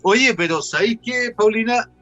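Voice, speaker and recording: A man's voice: very high-pitched, moderate pace, dull audio, over loud music. A man with a Chilean accent hosting a casual interview in an informal, slangy way. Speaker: interview show host.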